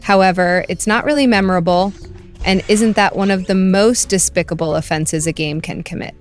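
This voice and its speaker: A man reading a scripted video game review voice-over in a measured, critical, wry way. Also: sits high, fairly even intonation. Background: background music.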